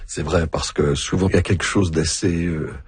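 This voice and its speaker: A man's voice: sits low, steady tone, moderate pace, husky, dry recording. A man hosting a radio interview, asking questions and making playful, joking asides.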